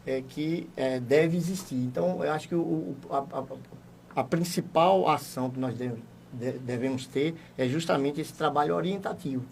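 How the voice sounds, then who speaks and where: A man's voice: fairly high pitch, normal speed, creaky, a little mellow, far from the mic, a little hiss or hum. Man speaking a bit off-mic on radio. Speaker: municipal education secretary. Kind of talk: radio interview. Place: radio studio.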